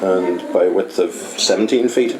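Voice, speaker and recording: A man's voice: deep voice, normal speed, a loud crowd. A middle-aged man with an Irish accent explaining his work in an informal interview, hesitant and often adding "you know". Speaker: pantomime scenery painter. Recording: loud music behind the voice. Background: loud music.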